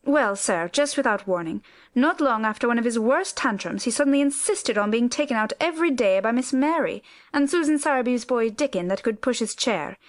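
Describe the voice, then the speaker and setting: A woman's voice: fairly high pitch, lively intonation, brisk, natural voice. A woman reader voicing a flustered, talkative older housekeeper who rambles through her explanations. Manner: breathless, rambling explanations, lots of "sir".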